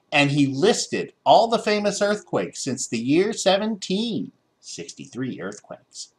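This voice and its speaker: Lively American man reading a story. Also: mid-range voice. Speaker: storybook reader. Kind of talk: expressive children's story narration.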